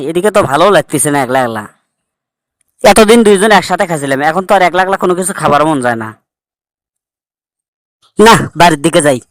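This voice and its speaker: A bossy village husband from a comedy cartoon , acted by a man, with a high-pitched voice and a northern Bangladeshi accent. He talks bluntly and argumentatively, recorded dry with no room sound. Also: no room sound. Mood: glum. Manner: scolding, blunt. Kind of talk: comic cartoon dialogue.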